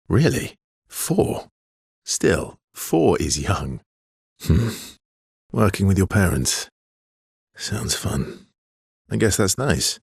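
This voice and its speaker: A guarded, brooding expedition survivor in a video game. His voice is very husky and low, with a dry wit and an understated, hesitant way of speaking. It is recorded close and dry. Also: dry recording, full character voice. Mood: teasing, warm. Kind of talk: video game character dialogue.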